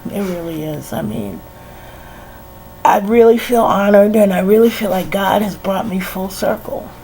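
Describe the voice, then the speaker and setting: Middle-aged woman, stuffed-up head cold, unhurried reflective vlog talk, faint hum behind. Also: medium pitch, mellow, rough recording. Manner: sighing, laughing, many "you know" fillers. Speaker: vlogger. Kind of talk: casual reflective monologue.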